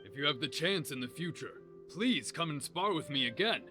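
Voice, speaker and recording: A man playing an earnest, disciplined young fighter in a video game, speaking in a measured way. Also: fairly high pitch, lively intonation, close to an everyday voice. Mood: calm resolve. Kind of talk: video game character acting. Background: game music.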